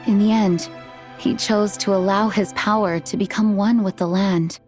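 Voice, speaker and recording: Composed female knight commander, played by an actress: formal, measured, dutiful, mid-pitched, soft music behind, game dialogue. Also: steady tone, lightly acted. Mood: earnest, thoughtful. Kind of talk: video game dialogue and story narration.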